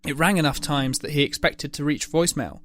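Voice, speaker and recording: A man's voice: fairly high pitch, fairly even intonation, fairly quick, fairly bright tone, slight background noise. A man narrating a scripted dramatic story as the narrator of an audio drama.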